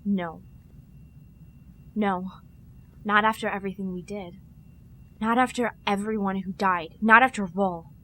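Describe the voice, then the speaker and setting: A woman playing an earnest, sharp starship security lieutenant in an audio drama, serious and direct. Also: medium pitch, steady tone, measured pace, long pauses, a little hiss or hum. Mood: distraught.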